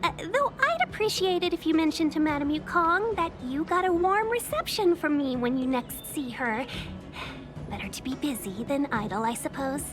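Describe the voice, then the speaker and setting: An actress playing a polite young guide who is eager to please in a video game, courteous and chatty. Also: female voice, sits high, expressive, normal speed. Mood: resigned. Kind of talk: video game dialogue acting. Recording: music under the voice.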